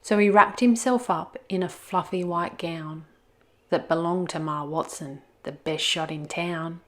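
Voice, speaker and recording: A woman's voice: on the low side, measured pace, a bit bright. A woman narrating a children's picture book expressively, as a read-aloud host.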